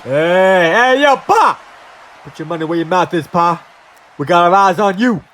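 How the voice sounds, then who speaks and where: A man's voice: high-pitched, lively intonation, normal speed, fairly bright tone, pitched above the performer's own voice. A man voicing a tough, brash gang leader who taunts and threatens in a homemade wrestling story. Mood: threatening.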